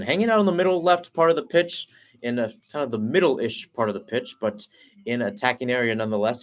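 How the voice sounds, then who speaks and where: A young man delivering live soccer play-by-play at a brisk, steady clip. He tracks each pass in a running call and corrects himself on the fly. His voice sits at an ordinary middle pitch for a man. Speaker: play-by-play commentator.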